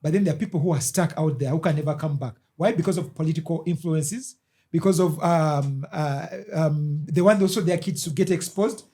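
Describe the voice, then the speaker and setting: A man rambling through a casual podcast chat, halting and restarting as he explains. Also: on the high side, dark tone.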